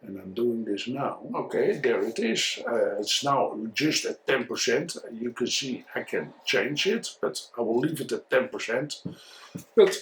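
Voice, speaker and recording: A man with a Dutch accent, a native Dutch speaker, giving an informal tutorial and review in a halting, didactic way, often repeating his words. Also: mid-range voice, animated, fairly bright tone, rough recording. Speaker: photography instructor and video reviewer.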